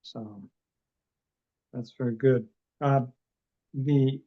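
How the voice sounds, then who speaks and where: A man from Canada hosting a podcast interview, relaxed and halting with many fillers. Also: fairly high pitch. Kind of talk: casual interview. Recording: dull, muffled sound.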